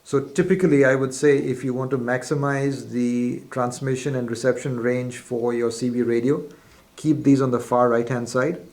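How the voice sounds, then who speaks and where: A man's voice: medium pitch, steady tone, moderate pace, darkish tone. A man presenting a step-by-step instructional demonstration in a methodical, formal, explanatory way. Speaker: product demonstrator.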